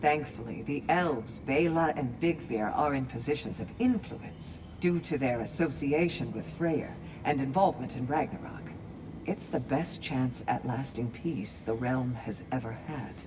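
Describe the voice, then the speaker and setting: A woman's voice: very deep voice, measured pace, background noise. A woman playing a steadfast, kindly Valkyrie warrior in a video game, speaking in a composed, articulate, formal way. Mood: measured, informative. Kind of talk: video game cinematic acting. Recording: game music behind the voice.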